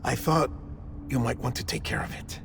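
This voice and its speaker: An actor playing a melancholy, gentle medical official in a game cutscene, speaking in a measured, hushed, hinting way. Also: male voice, medium pitch, vocal fry, background noise. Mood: somber, hinting. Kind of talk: video game cutscene acting. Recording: music behind the voice.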